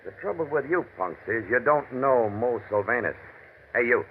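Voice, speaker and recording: A man's voice: medium pitch, animated, moderate pace, lightly acted. An actor playing a menacing, sarcastic American outlaw gunman in an old radio mystery, with slangy, taunting tough-guy talk. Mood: cold threat. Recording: scratchy, tinny old radio recording.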